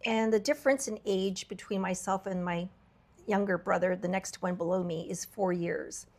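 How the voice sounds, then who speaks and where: A woman hosting a podcast, her voice a little raspy from travel, talking in an earnest, reflective way with frequent fillers and restarts. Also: mid-range voice, steady tone, moderate pace, a little mellow. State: slightly raspy voice from travel fatigue. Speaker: podcast host. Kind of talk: candid podcast conversation.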